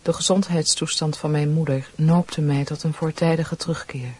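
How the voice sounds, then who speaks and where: A woman's voice: deep voice, fairly even intonation, moderate pace, a little mellow, slightly rough. A woman acting an earnest, politely formal young nurse in a Dutch radio play. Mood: formal, dutiful. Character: earnest, devout young nurse, gentle and dutiful.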